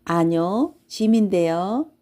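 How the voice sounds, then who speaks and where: A woman plays a young boy from a children's storybook, curious and helpful. The speech is polite and matter-of-fact, with a mellow, gentle tone. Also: medium pitch, animated, takes it slowly.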